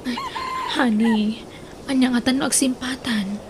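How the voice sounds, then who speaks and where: A woman's voice: a little high, fairly even intonation, unhurried, natural voice. A young woman with an Ilocano Filipino accent playing a determined daughter, earnest and insistent, in a radio drama. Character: determined young daughter eager to marry her boyfriend. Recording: noisy radio drama recording.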